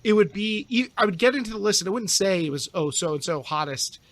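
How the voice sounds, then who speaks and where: High-pitched man, dry close sound. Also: quick pace, fairly bright tone, no echo. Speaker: podcast guest. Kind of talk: casual chat.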